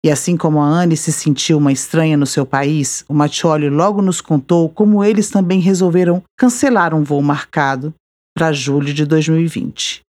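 A Brazilian woman hosting a podcast, reading a measured, reflective scripted narration. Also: sits low, steady tone, a little mellow. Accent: Brazilian Portuguese. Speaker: podcast host.

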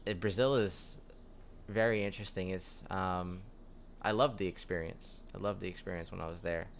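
Laid-back young man with a low voice. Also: moderate pace, creaky, a little hiss or hum. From United States. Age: young adult. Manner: relaxed, rambling storyteller with frequent fillers. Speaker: podcast guest. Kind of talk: casual conversation.

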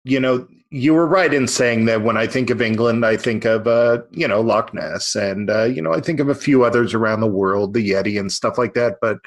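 Casual male podcast host. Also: medium pitch. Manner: casual, agreeable, quick to affirm. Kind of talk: podcast interview.

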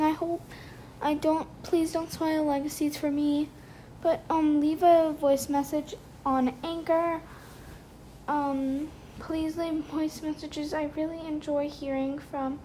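A woman's voice: sits high, warm tone, a little hiss or hum, low-quality recording. A young woman hosting a casual solo podcast from her bedroom late at night, chatty and rambling with lots of fillers, sounding tired. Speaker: podcast host.

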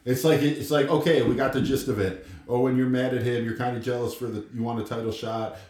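A man's voice: medium pitch, fairly even intonation, fairly quick, a little mellow. A man co-hosting a podcast in loose, opinionated banter with crude, informal joking. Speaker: podcast co-host. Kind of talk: casual chat, opinionated banter. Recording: echoey room, distant from mic.

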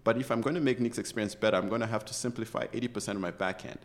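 Earnest Ugandan-born man, passionate and low. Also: steady tone, rapid-fire. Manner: earnest, passionate, with many restarts. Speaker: interview guest, tech executive. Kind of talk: conversational interview.

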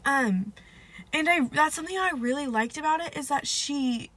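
A woman's voice: high voice, moderate pace, fairly bright tone, no echo. A young woman with a stuffed-up, nasal voice, hosting a casual solo podcast and rambling with lots of "like" and "um.". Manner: rambling, filler-heavy, self-deprecating. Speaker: podcast host.

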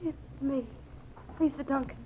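A woman's voice: high voice, steady tone, normal speed, lightly acted. Actress's secretive young female informant, earnest, 1940s radio acting, muffled old recording. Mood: pained, urgent.

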